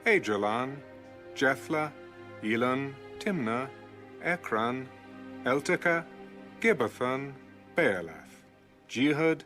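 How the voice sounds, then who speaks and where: A man reading Bible scripture as a scripted audiobook narration, measured and deliberate. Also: mid-range voice, expressive, bright, forceful tone, soft background music.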